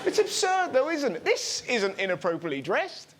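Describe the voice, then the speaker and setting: A man's voice: very high-pitched, huge pitch swings, moderate pace. A man with an English accent hosting a comedy show, delivering a mocking topical monologue with exaggerated outbursts to a laughing studio audience. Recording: loud audience and noise.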